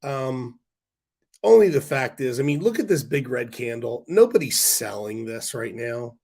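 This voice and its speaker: A man hosting a casual stock-market livestream, hoarse from a cold, rambling with fillers and restarts while answering viewer comments. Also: mid-range voice, animated, measured pace, a little mellow. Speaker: stock stream host.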